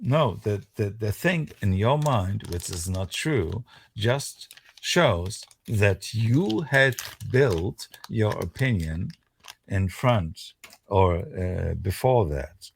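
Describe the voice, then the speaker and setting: Low gravelly man, husky, lively insistent debater on a video call. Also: slight background noise. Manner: insistent, halting, restarts his sentences. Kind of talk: heated casual debate.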